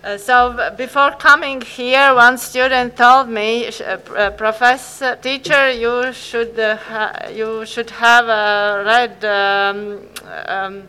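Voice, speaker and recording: A woman teacher with a Latvian accent answering interview questions, pausing often to find her words. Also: fairly high pitch, fairly even intonation, bright, forceful tone, smooth. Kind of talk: casual radio interview.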